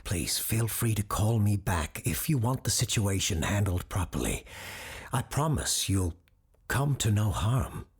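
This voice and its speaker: A very husky, low voice from a woman acting as a grave occult expert on a supernatural radio show. The delivery is measured and scholarly, with an ominous edge and wide swings in pitch, in audio drama style. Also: very animated, heavily acted. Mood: urgent warning. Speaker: radio call-in show host.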